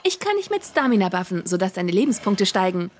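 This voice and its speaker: A woman's voice: mid-range voice, big pitch swings, brisk, slight background noise, close to an everyday voice. A woman voicing a vain female healer who is obsessed with bags, in a parody audio drama, talking in a chirpy, self-satisfied way.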